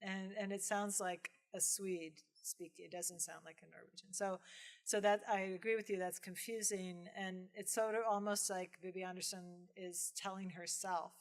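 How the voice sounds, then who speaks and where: A woman's voice: medium pitch, normal speed. A woman speaking as a professor in an informal lecture question-and-answer, talking conversationally with acted-out asides and checking in with listeners. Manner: conversational, animated retelling with acted-out asides and frequent "right?". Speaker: professor giving a film lecture and taking audience questions. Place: film screening lecture venue with audience.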